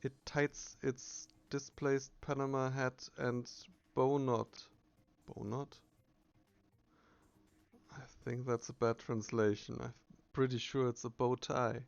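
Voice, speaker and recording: Slow-paced man reading game text. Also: mid-range voice, level tone, creaky, smooth, lo-fi sound. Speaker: let's player reading game text aloud. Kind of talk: narrating game text with casual asides.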